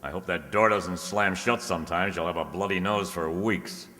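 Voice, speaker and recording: Deep male voice, bright and forceful, sardonic private detective played by an actor, radio drama, reverberant hall. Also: normal speed. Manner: dry, sardonic. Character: wisecracking hard-boiled private detective, adult man. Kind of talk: old-time detective radio play acting and narration. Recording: echoey hall, long reverb.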